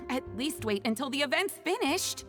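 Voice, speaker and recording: A woman's voice: high-pitched, expressive, unhurried, fairly bright tone, soft background music. An actress playing a protective, friendly female colleague in a video game. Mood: chiding.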